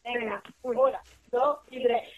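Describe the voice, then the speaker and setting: A woman from the Canary Islands co-hosts a casual radio chat. Her voice is high and her pace unhurried. She keeps her replies brief and cheerful. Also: steady tone, highs cut off.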